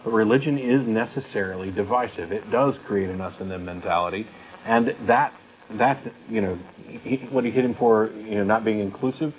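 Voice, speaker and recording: Man, lowish pitch, talk show co-host, conversational commentary, faint background noise. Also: moderate pace, rough recording.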